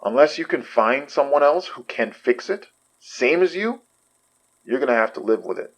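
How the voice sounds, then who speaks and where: An actor playing a caring, wry man in a horror audio drama, with halting, sincere, naturalistic delivery. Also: medium pitch, expressive, no echo, lightly acted. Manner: halting, sincere, dry humour. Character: caring, wry, apologetic ordinary man in supernatural trouble.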